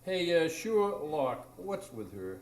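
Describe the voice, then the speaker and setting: A man's voice: on the high side, sing-song, takes it slowly. A man playing a laid-back, skeptical steamboat captain, hesitant and wry, in a live radio drama. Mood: wry, bemused. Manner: hesitant, wry, drawling interjections. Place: small improv theatre stage. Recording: roomy live stage recording.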